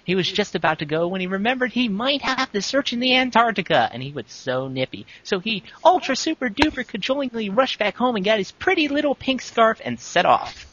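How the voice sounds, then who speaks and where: A high-pitched man hosts a comic reading of fan fiction. His delivery is theatrical and swings widely, over a slightly dull lo-fi recording. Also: sing-song, fairly quick, hardly any pauses, fairly bright tone. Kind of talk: comic dramatic reading with casual asides.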